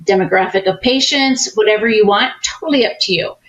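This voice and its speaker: Woman guest, mid-pitched voice, friendly coach-like explaining, casual podcast talk. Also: brisk, a bit bright, lo-fi sound. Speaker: consultant guest. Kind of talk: casual interview chat.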